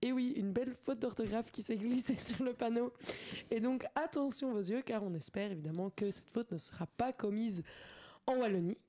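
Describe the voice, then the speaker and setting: A woman's voice: a little high, moderate pace, warm tone. A young woman hosting a light quiz of news anecdotes on the radio, hesitant and often breaking into giggles. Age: young adult. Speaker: radio show host presenting a quiz game.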